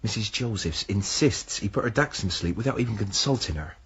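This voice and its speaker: Husky, gently teasing village friend. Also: male voice, lowish voice, animated, brisk, muffled recording. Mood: teasing, cautioning. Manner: chatty, tactful, teasing. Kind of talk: radio drama acting.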